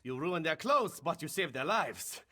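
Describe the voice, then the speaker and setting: A man playing a calculating male vampire rebel in a video game, husky, measured and commanding. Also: a little high, big pitch swings, bright, forceful tone, full character voice. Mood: calm, calculating. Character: male vampire rebel leader, calculating foe of his ancient father.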